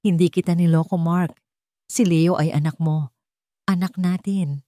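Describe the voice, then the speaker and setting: A woman's voice: fairly low pitch, steady tone, measured pace, mellow, dry recording, natural voice. A desperate wife in an audio drama , acted by a woman narrator, pleading against an accusation. She sounds emotional and wounded. The recording is dry. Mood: wounded insistence.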